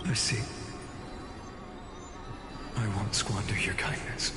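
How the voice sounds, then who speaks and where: A man playing an earnest, gentle young hero in an anime game, solemn and expressive. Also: lowish voice, slow pace. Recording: echoey reverb under loud music. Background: loud game music.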